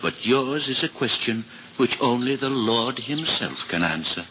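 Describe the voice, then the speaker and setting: A man's voice: medium pitch, expressive, a little slow, over loud music, natural voice. A man in a 1950s radio drama playing a kindly, flustered priest who is also the monastery cook, hesitating over his words. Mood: earnest. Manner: flustered, hesitant, with many uhs. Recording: lo-fi old radio broadcast, faint hiss.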